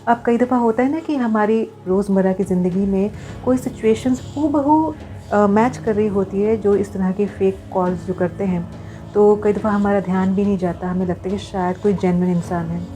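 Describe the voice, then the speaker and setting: Earnest woman, mellow voice. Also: mid-range voice, fairly even intonation, moderate pace, faint music behind. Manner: earnest, explanatory, mixing in English phrases. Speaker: vlogger. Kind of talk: personal awareness vlog, talking to viewers.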